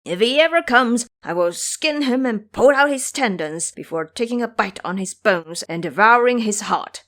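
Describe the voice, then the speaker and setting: A woman's voice: a little high, lively intonation, normal speed, fairly bright tone. A woman voicing an outraged, bloodthirsty male monster villain in an audio drama, scornful and threatening. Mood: outraged menace. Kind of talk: audio drama character acting.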